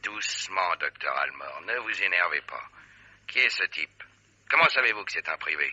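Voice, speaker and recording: An actor playing a gruff, threatening police lieutenant with a curt, bullying manner in a French radio crime drama. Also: male voice, sits low, expressive, moderate pace, bright, forceful tone, full character voice. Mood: brusque, soothing. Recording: dull, lo-fi old radio recording.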